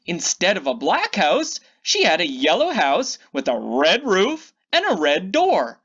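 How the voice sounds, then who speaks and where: Slow, animated woman reading a storybook. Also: medium pitch, lo-fi sound. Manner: theatrical, drawn-out emphasis on key words. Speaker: children's storybook reader. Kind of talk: read-aloud picture book narration.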